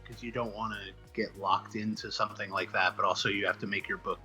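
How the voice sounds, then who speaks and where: A man chatting casually as an interview guest, halting and self-deprecating as he thinks out loud. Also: lowish voice, steady tone, soft background music, low-quality recording. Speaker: guest, comic book writer.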